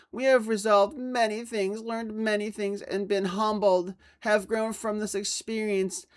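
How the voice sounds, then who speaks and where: A man's voice: high voice, a bit bright. A man voicing a pompous, self-satisfied toy company boss in a mock dramatic reading of a letter. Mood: earnest, promising. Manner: pompous, salesman-like.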